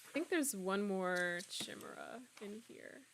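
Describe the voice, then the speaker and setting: A woman in her twenties, slightly hoarse, chatting casually and laughing often as she streams a game and answers her viewers. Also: medium pitch, expressive, unhurried, heavy background noise. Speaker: streamer playing a horror game. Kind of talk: casual live-stream chat, reading out viewer comments.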